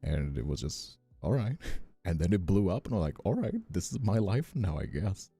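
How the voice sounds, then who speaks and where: A man's voice: low voice, big pitch swings, moderate pace, gravelly, dark tone, breathy edge, no room sound, soft background music. A young man from Brazil chatting casually, telling stories in a rambling, self-deprecating way. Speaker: ASMR voice actor and streamer. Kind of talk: casual chat.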